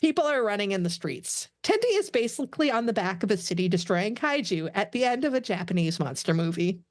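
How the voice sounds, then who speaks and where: A woman's voice: mid-range voice, very animated, a little fast, no echo, clean studio sound. A woman reading stage directions aloud as the narrator of a scripted table read.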